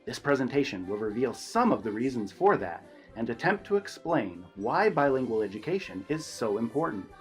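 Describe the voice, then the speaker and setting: A man's voice: mid-range voice, very animated, normal speed. A man reading a scripted introduction to a class presentation in an announcer-like way. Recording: voice over background music.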